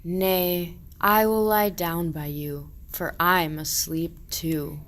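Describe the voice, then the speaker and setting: A woman's voice: on the low side, steady tone, takes it slowly. A woman playing a suspicious, mocking and weary shepherd in a medieval radio play, declaiming archaic verse.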